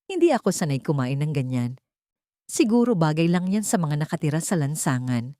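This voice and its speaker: A stern older mother from an audio drama, played by a woman narrator, easily scandalised about her grown son. The voice is mellow and dry. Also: low voice, big pitch swings, fairly quick, no room sound. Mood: cold, scornful.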